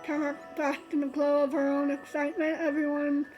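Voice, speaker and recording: A woman's voice: high voice, little pitch movement, fairly quick, music in the background. A woman streamer reading a visual novel aloud, stumbling and restarting often and adding chatty asides. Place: streaming setup.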